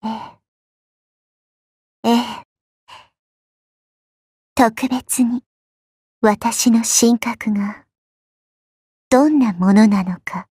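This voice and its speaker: A woman playing a petite, elegant, coy and haughty goddess in a mobile game, speaking softly with refined, condescending politeness. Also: fairly high pitch, drawn-out words, long pauses, dry recording, studio quality, heavily acted. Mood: haughty, alluring. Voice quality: soft, breathy. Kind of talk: Japanese mobile game character voice lines.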